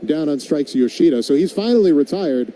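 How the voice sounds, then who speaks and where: A male baseball announcer with a very mellow, dark tone and a slightly high pitch. He moves between live play-by-play and wry conversational commentary, over faint background ambience. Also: expressive, a little fast, slight background noise, low-quality recording. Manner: wry, tongue-in-cheek.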